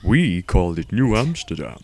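A man's voice: deep voice, very animated, moderate pace, a little mellow, heavily acted. A man playing a formal, declamatory sea explorer from centuries past in an audio drama. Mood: formal, wry. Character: old-time male European sea explorer, formal, aggrieved.

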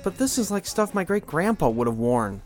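A man's voice: fairly high pitch, sing-song, normal speed, a little mellow, faint music behind. A man playing a curious, sceptical young college student in an audio drama. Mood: doubtful, curious.

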